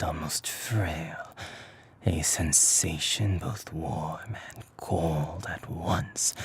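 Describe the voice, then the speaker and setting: A performer voicing a possessive, vain immortal male lover in an intimate ASMR roleplay, speaking formally in a breathy whisper. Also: very deep voice, darkish tone, no echo, full character voice. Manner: slow, deliberate, formal, intimate.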